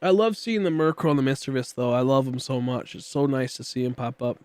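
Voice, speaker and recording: A man's voice: medium pitch, fairly quick, darkish tone, smooth, dry recording. A man in his late twenties chatting casually while streaming a game, rambling and joking about himself as he talks to viewers and a friend. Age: 20s. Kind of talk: casual livestream chat while gaming.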